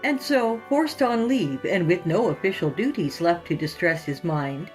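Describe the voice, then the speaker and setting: Low-pitched woman narrating horror theatrically. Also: animated, a little slow, a little mellow, faint music behind, rough recording. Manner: theatrical, sinister storytelling delivery. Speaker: podcast host and narrator of horror fiction. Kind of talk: scripted horror podcast narration.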